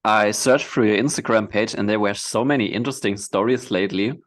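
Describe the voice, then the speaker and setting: A man hosting a podcast, asking his guest questions in a relaxed, casual way with frequent laughs. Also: fairly low pitch, fairly quick. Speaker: podcast host. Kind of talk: casual interview chat.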